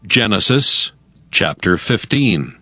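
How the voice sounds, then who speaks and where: A man narrating scripture from a script in a solemn, measured manner. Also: lowish voice, very animated, sharp tone, no echo, soft background music.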